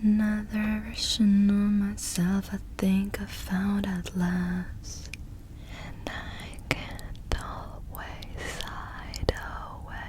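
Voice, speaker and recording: A woman doing ASMR, singing and whispering songs in a soft, breathy, gentle voice. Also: medium pitch, flat delivery. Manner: slow, drawn-out, gentle delivery. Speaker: ASMR performer.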